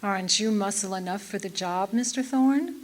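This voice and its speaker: A sultry 1940s femme fatale played by a woman, coy and self-possessed. Her medium-pitched voice teases in classic radio noir fashion. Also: normal speed, natural voice. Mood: coolly seductive. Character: cool, sultry femme fatale client in a tight dress. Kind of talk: old-time radio noir acting.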